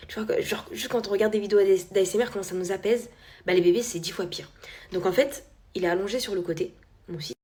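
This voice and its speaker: Young mum vlogger, chatty and candid, middle pitch, loose filler-heavy delivery. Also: female voice, mellow. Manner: chatty and candid, many fillers, drops to a whisper at times. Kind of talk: casual chat to followers.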